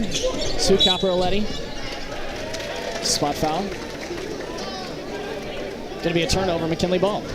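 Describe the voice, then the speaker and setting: A man doing live play-by-play commentary of a basketball game from a high school gym. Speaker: play-by-play announcer. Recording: loud crowd and gym noise. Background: basketball crowd, bouncing balls.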